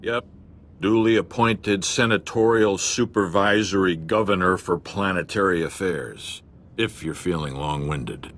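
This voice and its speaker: Video game soldier sidekick, very deep, sardonic, blunt, wry, faint music. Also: male voice, measured pace, a bit bright, a little hiss or hum. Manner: wry, plain-spoken.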